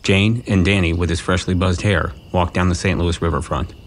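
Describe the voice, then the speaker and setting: A man's voice: low-pitched, steady tone, moderate pace. A man narrating scenes of an audio drama in scripted present tense.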